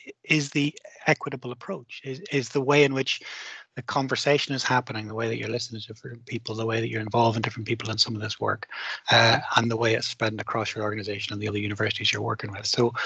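A man's voice: medium pitch, slightly rough, no echo. A man on a headset video call moderating a conference session, encouraging and chatty as he thanks the speaker and invites questions. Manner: warm, encouraging, rambling thanks. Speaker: conference host. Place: home office on video call.

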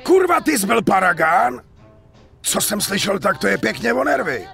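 An actor playing a chatty, hearty middle-aged gun dealer and war veteran in a video game dub, talkative and foul-mouthed. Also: male voice, high-pitched, sing-song, gravelly, a bit bright, soft background music, full character voice. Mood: curious, impressed. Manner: talkative, foul-mouthed, showy sales patter. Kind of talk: dubbed video game cutscene acting.

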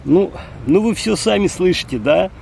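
A man's voice: expressive, moderate pace, vocal fry. A man in his fifties making a friendly, casual amateur radio contact, speaking outdoors. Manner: friendly, spells call signs with phonetic words. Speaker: amateur radio operator and vlogger. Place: outdoors in a city park. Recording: outdoor park with faint rumble.

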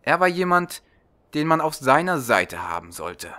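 Bright-voiced male fantasy audiobook narrator. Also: on the high side, big pitch swings, measured pace. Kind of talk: dramatic scripted audiobook narration.

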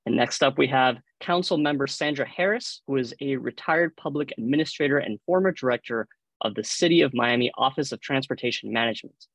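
A man's voice: mid-range voice, fairly quick, fairly bright tone, dry recording, low-quality recording. Male moderator with Cuban accent, dry sound. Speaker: panel moderator. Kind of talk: formal scripted introductions, reading biographies. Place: indoor room on a video call. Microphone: video call microphone.

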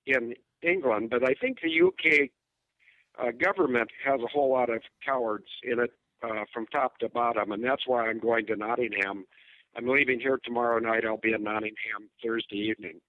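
Older man, Upper Midwest American accent, phone-line sound, dry and close, emphatic and deliberate. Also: mid-range voice, no echo. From United States. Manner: emphatic, deliberate, with mocking asides. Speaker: guest. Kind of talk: phone-in interview. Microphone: cell phone. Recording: tinny cell phone line.

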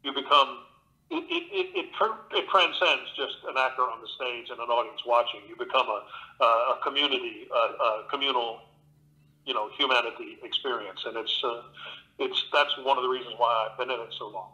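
An older man speaks with a bright, forceful tone, earnest and thoughtful, often circling back to restart a phrase. He sounds like a guest talking over a remote call. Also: medium pitch, expressive, fairly quick, dull audio. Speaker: guest actor and narrator. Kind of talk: reflective interview talk.

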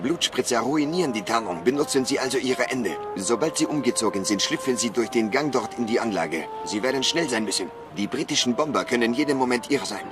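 Earnest resistance leader played by a man, mid-pitched. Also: lively intonation, a little fast, few pauses, over music, natural voice. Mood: instructive. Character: earnest, resolute male resistance leader and writer. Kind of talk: video game dramatic acting.

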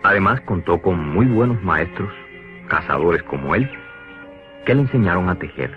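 A man with a low voice narrates an educational cartoon with lively, varied intonation. He explains in a didactic way over clear music, on a dull lo-fi soundtrack. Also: moderate pace. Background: clear background music.

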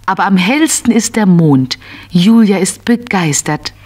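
A woman narrating a scripted children's story in short, measured phrases. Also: mid-range voice, lively intonation, darkish tone.

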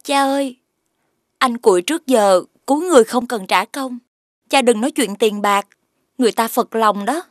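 A woman playing a devoted young lady of a rich family in an animated folk tale, sweet, earnest and polite. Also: fairly high pitch, moderate pace, no echo, clean studio sound, close to an everyday voice. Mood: respectful. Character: gentle, devoted young rich man's daughter turned wife.

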